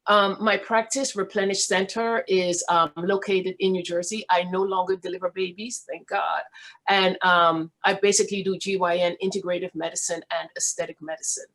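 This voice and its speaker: A middle-aged woman with a Guyanese accent, a physician introducing herself on an online panel in a relaxed, chatty way. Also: fairly low pitch, normal speed. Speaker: physician guest.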